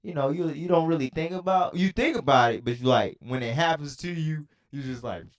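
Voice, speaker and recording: A man's voice: a little high, expressive, brisk. A man hosting a casual podcast, talking in slangy, profane language and repeating phrases for emphasis. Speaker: podcast host.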